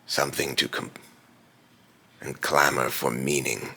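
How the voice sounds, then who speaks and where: A man voicing an ominous immortal being in a theatrical villain monologue, grave and condescending, with solemn, grandiose phrasing and a gravelly, breathy rasp. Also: very deep voice, level tone, measured pace, pushed and bright, full character voice. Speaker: voice actor.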